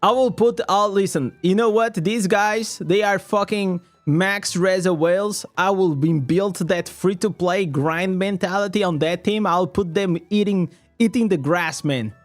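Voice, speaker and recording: Portuguese streamer, young guy, high voice, rambly and sweary, faint music behind. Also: unhurried, hardly any pauses. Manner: rambling, swears often, many restarts. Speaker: streamer reading chat. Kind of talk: casual live stream chat.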